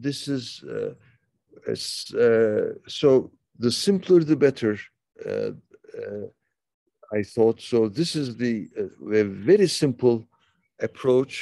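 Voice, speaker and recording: A man from Turkey hosting a conversation over a video call, talking slowly with many fillers and restarts. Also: mid-range voice, wildly swooping pitch, gravelly, warm tone, dry recording. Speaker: interview host. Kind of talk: casual conversation.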